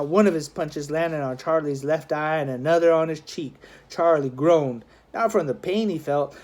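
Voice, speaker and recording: A man reading a children's adventure novel aloud as scripted narration, in a dramatic, expressive storytelling manner. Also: fairly high pitch. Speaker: audiobook narrator, schoolmaster.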